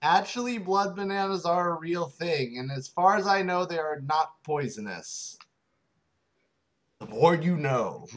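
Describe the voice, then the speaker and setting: A man's voice: fairly high pitch, animated, unhurried. A man giving casual, wry comic commentary as co-reader, breaking in with asides.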